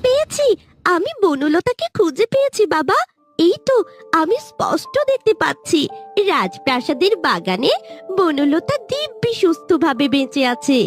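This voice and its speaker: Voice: very high voice, very animated, normal speed, soft background music, full character voice. A performer voicing a caring, eager, childlike talking tree in a cartoon fairy tale. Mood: excited, relieved.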